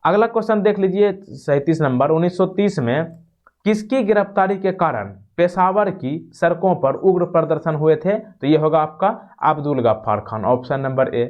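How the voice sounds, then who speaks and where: A man's voice: fairly high pitch, animated, moderate pace. A man teaching a quiz lesson, reading out questions, rephrasing them and giving answers in an explanatory way. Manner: explanatory, repeats and rephrases each question, checking tags. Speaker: exam-prep teacher. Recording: dull, lo-fi sound.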